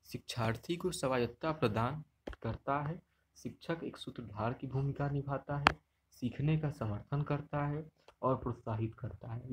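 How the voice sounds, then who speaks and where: A man with a Hindi accent walks through an exam-prep lesson, annotating slides as he talks. His voice sits at a middle pitch. A faint hiss runs under the screen recording. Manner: explanatory, repeats points, checks with "ठीक". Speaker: teacher. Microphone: screen recording, unseen speaker.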